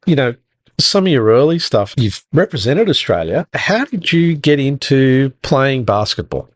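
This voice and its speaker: A man's voice: medium pitch, unhurried, no room sound, clean studio sound. Man hosting a sports podcast. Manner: relaxed, uses "sort of" and "you know". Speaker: podcast host. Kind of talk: scripted intro then interview questions.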